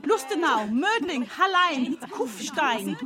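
A woman reciting long lists of town names one by one, with a slow, deliberate delivery broken by giggles. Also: sits high, big pitch swings. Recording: crowd chatter behind.

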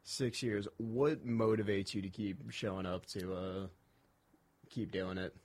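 A man's voice: lowish voice, steady tone, measured pace, a little mellow. A man hosting a casual interview, asking questions with dry, self-deprecating humor. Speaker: interview host.

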